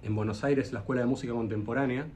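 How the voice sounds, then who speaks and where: A man's voice: medium pitch, steady tone, rapid-fire, darkish tone, slight background noise. A man with an Argentine accent, a luthier guest on a video call, telling long stories with lots of hesitant "eh" fillers. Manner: hesitant, frequent "eh" fillers, rambling anecdotes. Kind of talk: casual interview storytelling.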